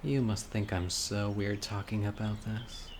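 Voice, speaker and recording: A man acting a critically ill, wistful and obsessive young man in an intimate, earnest audio roleplay monologue, musing softly to his date. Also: on the low side, level tone, measured pace. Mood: wistful, hopeful. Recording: clear outdoor insect and bird noise. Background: birdsong, crickets and insects of a lakeside.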